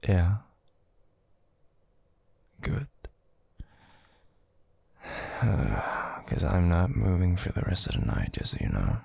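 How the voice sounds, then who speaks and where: Man acting a slow, gravelly, cuddly ASMR boyfriend. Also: big pitch swings, dry recording, full character voice. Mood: sleepy, attentive. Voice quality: breathy, gravelly low murmur. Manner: soft, hesitant, drawling, teasing. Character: affectionate, teasing young boyfriend, sleepy and cuddly. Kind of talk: intimate ASMR boyfriend roleplay.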